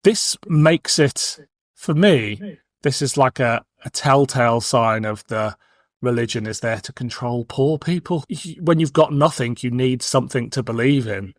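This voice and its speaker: A man's voice: mid-range voice, unhurried, no echo, clean studio sound. British man in his forties, chatting casually. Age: 40s. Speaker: podcast host. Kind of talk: casual chat.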